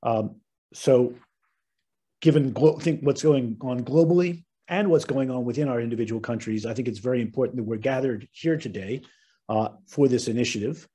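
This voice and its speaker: A middle-aged man with an American accent, hosting an online webinar and giving formal opening remarks in a measured, earnest way. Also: mid-range voice, warm tone, breathy edge. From United States. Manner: measured, earnest, occasional ums and restarts. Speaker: webinar host and moderator. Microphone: video call webinar.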